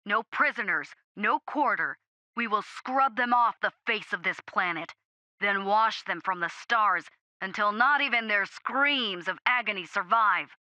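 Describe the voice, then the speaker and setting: A woman voicing a gruff, fierce male first sergeant rallying troops in an audiobook, with a booming, growling delivery. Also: unhurried, pushed and bright, dry recording, highs cut off. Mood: grim, disgusted.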